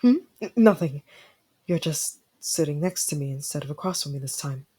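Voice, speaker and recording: Woman voicing a young fantasy prince, low for a female voice, haughty, easily flustered, secretly fond, animated ASMR roleplay. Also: moderate pace, husky. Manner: tsundere, stammering, haughty then softening.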